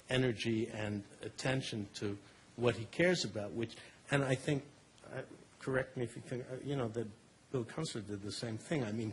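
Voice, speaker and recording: A man offering a comment from the floor at a panel discussion, talking haltingly with many fillers and repeated words. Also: mid-range voice, a little hiss or hum, poor audio. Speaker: audience member at a panel. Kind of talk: thoughtful spoken comment.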